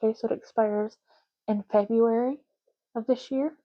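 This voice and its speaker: A woman speaking softly and unhurriedly in an ASMR roleplay, playing a chatty, matter-of-fact official in short murmured phrases. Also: a little high, fairly even intonation, normal speed, dull audio. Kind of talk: soft-spoken ASMR roleplay.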